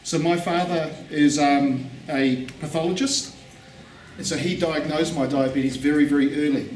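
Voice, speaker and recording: A man giving a relaxed conference talk, repeating words for emphasis, with pauses for an interpreter. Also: on the high side. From New Zealand. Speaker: guest speaker. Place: conference hall. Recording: echoey hall, distant mic, faint noise.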